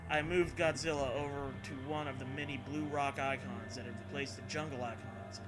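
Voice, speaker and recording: A man mockingly reading a story aloud as a host, with profane, sarcastic and excitable joking commentary. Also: mid-range voice, brisk. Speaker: host reading internet horror stories aloud. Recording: music behind the voice. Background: music.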